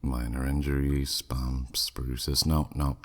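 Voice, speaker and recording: An exceptionally deep-voiced demon played by a man speaks slowly, with a husky rasp. He is a haughty, flirty buyer in an ASMR audio drama, drawling with sardonic, dismissive skepticism. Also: flat delivery, heavily acted. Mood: cool, skeptical. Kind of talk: scripted ASMR audio roleplay.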